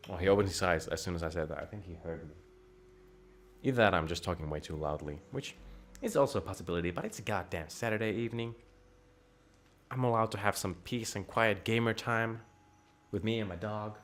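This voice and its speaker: A man with a Finnish accent chatting and joking over a game at home, his voice jumping up when excited. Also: fairly low pitch, a little fast. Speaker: game streamer. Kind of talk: casual game commentary. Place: home room.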